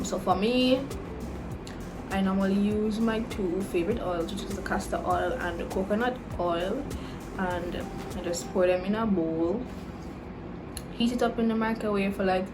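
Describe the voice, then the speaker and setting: A woman's voice: medium pitch, low-quality recording. A 21-year-old woman with a Caribbean English accent mixing Jamaican and Dominican, chatting casually as she answers viewer questions, with a lot of "like" and "you know". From Caribbean, Jamaican and Dominican roots. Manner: chatty and relaxed, lots of "like" and "you know" fillers. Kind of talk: casual Q&A vlog chat.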